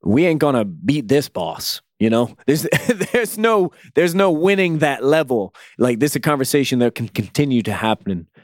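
Thoughtful, measured male podcast co-host. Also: mid-range voice, sing-song, no echo, clean studio sound. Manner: thoughtful, measured, joking asides. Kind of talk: casual podcast conversation.

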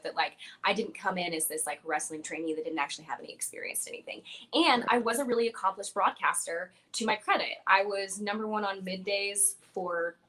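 A woman's voice: mid-range voice, a bit bright. A young woman, a wrestler and former radio broadcaster, answering interview questions in a confident, chatty way with frequent filler words.

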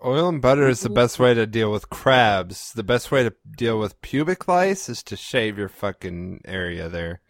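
Drunk young man, mid pitch, blunt, laughing, dry close mic. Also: animated, normal speed, hardly any pauses, no room sound. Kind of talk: casual drunk chat.

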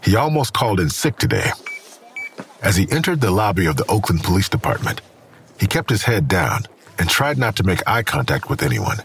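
A man narrates an audio drama in a very low voice that moves little in pitch. The delivery is scripted storytelling, with faint noise behind it. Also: sing-song, fairly quick, vocal fry, a bit bright.

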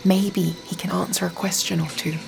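A woman playing an upbeat, unflappable, wry travelling companion in a period audio drama, quick to react. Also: lowish voice, steady tone, gravelly, noisy background. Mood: uneasy. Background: music.

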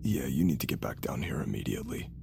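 A man's voice: rumbling low, expressive, fast, gravelly, background noise, full character voice. An actor playing a curt male agent reporting on a call in an audio drama. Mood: guarded, urgent.

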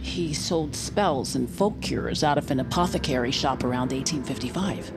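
A woman's voice: very deep voice, normal speed, noisy background. An actress playing an earnest, troubled woman investigating an occult mystery in an audio drama. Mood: intent.

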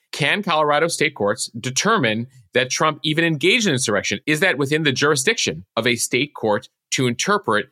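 A man's voice: medium pitch, pushed and bright, no echo, clean studio sound. An American man hosting a news podcast, explaining developments step by step in a conversational style. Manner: explanatory, walks through points step by step.

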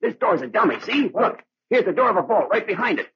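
An actor playing an excitable, uneasy henchman with slangy speech in a 1940s radio drama. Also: sits high, quick pace, a little hiss or hum. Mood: excited. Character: excitable uneasy male henchman posing as detective. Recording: muffled old radio broadcast.

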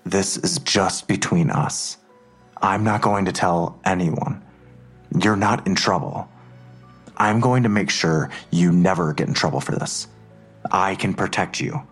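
An actor playing a worried, protective father in an audio drama, husky and earnest, reassuring but insistent. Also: low voice, unhurried, faint music behind, full character voice.

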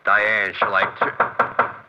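A surly, menacing hoodlum from an old radio crime play. He is blunt and slangy in a low voice, recorded with muffled vintage sound. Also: completely flat delivery, extremely slow, heavy background noise. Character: surly, threatening male thug spouting psychology jargon. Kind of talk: 1950s radio drama acting.

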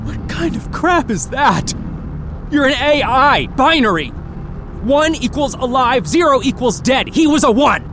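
A man's voice: extremely high pitch, lively intonation, unhurried, background noise. A man playing a desperate, stubborn space traveler in an audio drama, urgent and emotional. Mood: angry, bitter. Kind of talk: scripted audio drama acting.

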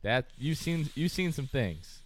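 A man's voice: mid-range voice, sing-song, a little slow. A man hosting a casual sports podcast, telling a story in an upbeat way and laughing often. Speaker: podcast host.

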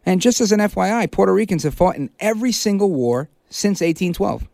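Emphatic talk radio host, dry studio. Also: male voice, high voice, lively intonation, creaky, darkish tone, no room sound. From Brooklyn, New York, United States. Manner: emphatic, punchy, repeats key words with slow stress. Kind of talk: political talk radio monologue. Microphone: studio microphone.

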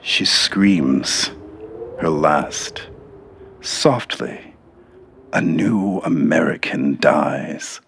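A man narrating a dark satirical audio drama as a solemn, wry, deliberate storyteller. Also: very deep voice, gravelly, a little hiss or hum, heavily acted. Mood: grave.

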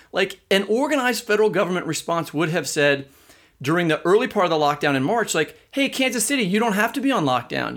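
American man, fairly high voice, podcast co-host, informal, opinionated, casual discussion. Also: a bit bright.

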